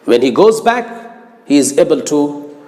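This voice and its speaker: Male religious lecturer, high voice with lively swings, emphatic and instructive. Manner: deliberate, emphatic, repeats phrases. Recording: long hall-like reverb.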